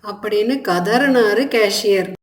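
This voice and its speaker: A woman's voice: mid-range voice, unhurried, echoey room. A woman narrating a scripted children's story.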